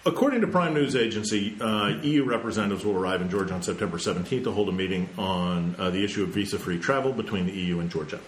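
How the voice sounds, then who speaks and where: Low-voiced man reading news casually. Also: quick pace, few pauses, slight background noise. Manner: reads news items then riffs with jokes. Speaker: news podcast host. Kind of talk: casual news roundup with banter. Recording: echoey room, faint ambience.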